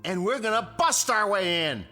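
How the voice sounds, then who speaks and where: A man's voice: sits high, very animated, normal speed, soft background music, heavily acted. An American actor playing a belligerent, threatening suburban man leading a panicked mob in a radio drama. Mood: menacing. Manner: forceful, threatening.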